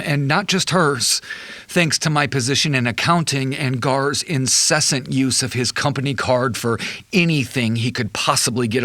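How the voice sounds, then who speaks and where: An actor playing a betrayed husband, an accountant, in a measured, wry and bitter confession for an audio drama. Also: male voice, mid-range voice, a bit bright, dry recording, close to an everyday voice. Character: betrayed husband, an accountant recounting his wife's affair.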